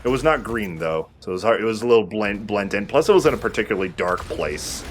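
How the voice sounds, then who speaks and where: A man's voice: lowish voice, animated, fast talker, a little hiss or hum. A man giving casual let's play commentary as the player, informal, sweary and self-deprecating.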